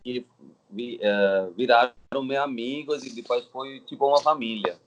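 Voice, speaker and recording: Indian guy in his 30s w indian accent telling his story, halting simple phrases, kinda muffled lo-fi sound. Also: mid-range voice, noisy background. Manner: halting, non-native Portuguese with simple phrasing. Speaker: interview guest. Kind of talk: casual personal storytelling. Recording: dull, lo-fi audio.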